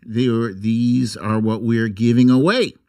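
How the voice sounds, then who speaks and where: A man's voice: medium pitch, fairly even intonation, unhurried, a little mellow. An American man hosting a casual livestream, chatting informally and jokily with some mild swearing. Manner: informal, jokey, mildly profane. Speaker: host of an online livestream show. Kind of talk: casual livestream chat and commentary.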